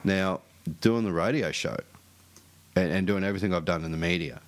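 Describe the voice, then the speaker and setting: A middle-aged man with a low voice tells a story with plenty of stuttered restarts. He talks loosely and casually, the way a co-host chats on his own show. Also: fairly quick.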